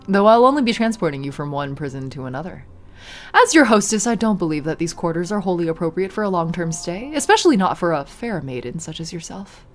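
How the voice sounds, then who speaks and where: A woman voicing a cold, haughty female vampire noblewoman who speaks slowly and with arch formality. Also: fairly low pitch, animated, faint music behind, voice pushed down, lightly acted. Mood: silky. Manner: slow, purring, archly formal.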